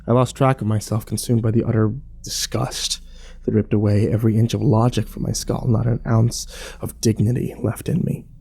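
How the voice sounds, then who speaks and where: A man's voice: on the low side, flat delivery, moderate pace, gravelly, warm tone, husky. A man playing a shaken, bitter survivor who tells his own story in a grim horror audio drama. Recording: music bed under the voice.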